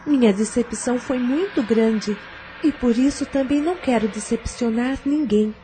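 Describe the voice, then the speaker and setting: Wounded, cautious young single mother played by a woman, high and mellow, hesitant, deferential, audio drama. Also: normal speed, slight background noise, natural voice, low-quality recording. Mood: subdued.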